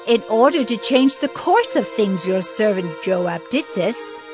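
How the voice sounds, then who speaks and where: A woman's voice: mid-range voice, lively intonation, measured pace, over music, natural voice. An actress playing a humble woman pleading before a king in a dramatized Bible recording. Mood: subdued, confessing. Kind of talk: dramatized Bible audio acting.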